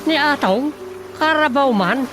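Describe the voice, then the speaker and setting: A woman's voice: sits high, animated, unhurried. An actress playing a chatty, gossipy village woman in a comedic radio drama. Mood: astonished. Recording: music bed behind voices.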